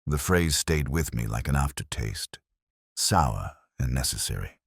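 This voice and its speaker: An extremely deep-voiced, brooding detective played by a man tells his case in the first person. The delivery is measured, reflective and somber, with melodic rises and falls, like an audio crime drama. The sound is dry and close. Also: expressive, vocal fry, slightly rough, dry recording, full character voice. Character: brooding, melancholy English male police detective narrating his case.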